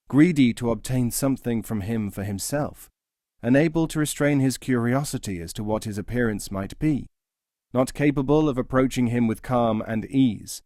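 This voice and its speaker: A man reads traditional teaching tales as an audiobook narrator. His voice sits at a middle pitch, and the recording is dry with very little room sound. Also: a little mellow, dry recording.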